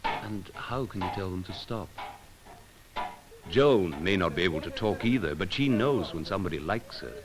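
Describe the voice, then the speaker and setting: Male narrator for a vintage educational film: low register, measured and solemn, lyrical phrasing, deliberate pauses, music on the track. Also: animated, rough recording. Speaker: documentary narrator.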